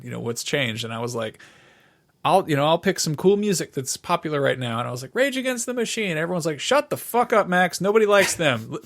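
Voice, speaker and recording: A man's voice: medium pitch, fairly bright tone. A man co-hosting a podcast, chatting casually and informally about the past, with some swearing. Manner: informal, profane. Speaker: podcast co-host. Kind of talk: casual chat, reminiscing about music.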